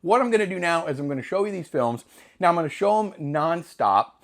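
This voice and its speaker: Middle-aged male vlogger, slightly hoarse, rambling and jokey, lively up-and-down delivery. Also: on the high side, a little fast. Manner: rambling, self-deprecating, jokey asides. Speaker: film hobbyist channel host. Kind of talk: casual talk to camera.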